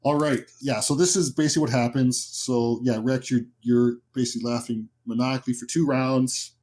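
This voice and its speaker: A man's voice: mid-range voice, steady tone, normal speed, a little mellow. A man as game master, improvising narration and explaining rules in a conversational way for a horror tabletop game.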